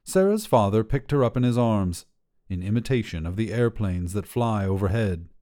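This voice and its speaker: A man reading a short story as its narrator, with a measured, literary storytelling delivery. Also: lowish voice, lively intonation, warm tone. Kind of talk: scripted fiction narration, storytelling.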